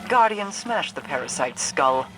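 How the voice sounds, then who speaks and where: A low voice of a solemn woman ruler in a video game. She speaks in a measured, guarded way, proud and used to command. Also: big pitch swings, music in the background, poor audio. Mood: threatening. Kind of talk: video game cutscene acting.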